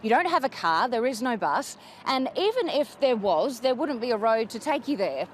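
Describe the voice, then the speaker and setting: A woman hosting a news interview, posing clear, inquisitive questions in a polished broadcast manner. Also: a little high, sing-song, moderate pace, slight background noise.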